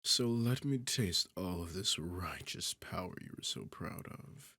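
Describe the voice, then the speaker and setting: A man voicing an arrogant, seductive demon king in an ASMR roleplay, taunting the listener in a condescending, theatrical manner with a husky, gravelly voice. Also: sits low, lively intonation, pushed and bright, dry recording, heavily acted. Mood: taunting, menacing. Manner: taunting, condescending, theatrical, slow deliberate phrasing.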